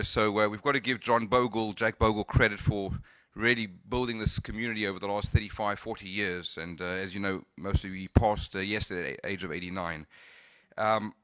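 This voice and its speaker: A man's voice: on the low side, pushed and bright, no echo. A man whose accent mixes Cape Town and California, giving a conference talk with frequent hesitations and restarts. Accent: South African and Californian mix. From South Africa. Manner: hesitant, many fillers and restarts. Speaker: conference speaker. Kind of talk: conference presentation.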